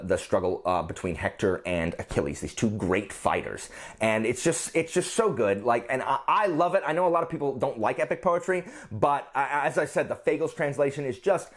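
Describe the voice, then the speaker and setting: A man talking casually as a book vlogger, gushing and emphatic, often repeating phrases to drive a point home. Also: medium pitch. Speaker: book reviewer and channel host. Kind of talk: casual chatty book vlog.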